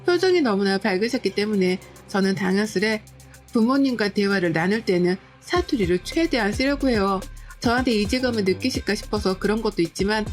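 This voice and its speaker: Woman calmly reading a story over music. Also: medium pitch, fairly quick. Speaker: narrator reading a listener's story. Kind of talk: scripted first-person storytelling. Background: soft background music.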